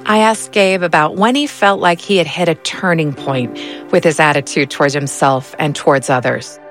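A woman hosting a podcast, narrating a scripted story in a measured, earnest way. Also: low-pitched, soft background music.

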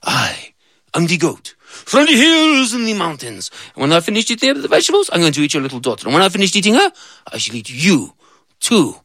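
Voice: mid-range voice, huge pitch swings, fairly bright tone, dry recording, clean studio sound, pitched above the performer's own voice, exaggerated voice. A storyteller doing a boastful bullying goat. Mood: taunting. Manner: taunting, drawn-out threats. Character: greedy boastful male goat from the hills.

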